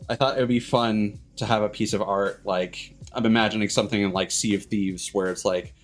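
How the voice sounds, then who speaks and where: A man hosting a livestream in casual chat, rambling and haltingly backtracking mid-sentence. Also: lowish voice, steady tone. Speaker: streamer host. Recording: music playing behind the voice. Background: background music.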